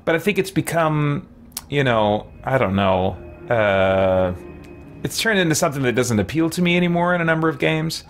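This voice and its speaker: A man's voice: fairly high pitch, animated, moderate pace, faint music behind. A man around his forties gives casual game commentary, rambling and thinking aloud as he plays. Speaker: streamer playing a role-playing game. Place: indoor room lined with shelves. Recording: clear background noise.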